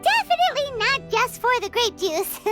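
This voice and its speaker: A woman voicing a tiny, cheerful, chatty childlike fairy companion in an animated video game, with a perky manner. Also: very high voice, moderate pace, over music. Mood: warm, loyal. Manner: speaks of self in third person, perky.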